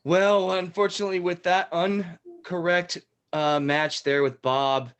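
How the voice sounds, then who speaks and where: A man playing a chatty game show host in an improvised parody, with deadpan asides. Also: high-pitched, measured pace, smooth. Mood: chatty, wry. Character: male TV game show host, chatty, smooth, mock-serious.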